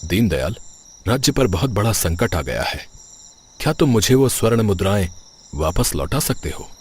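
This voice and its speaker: Man playing a dignified cartoon king, lowish voice, expressive, music underneath. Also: moderate pace, slight background noise. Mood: regal. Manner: calm and dignified. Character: kind, wise male king. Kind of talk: cartoon voice acting. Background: background music.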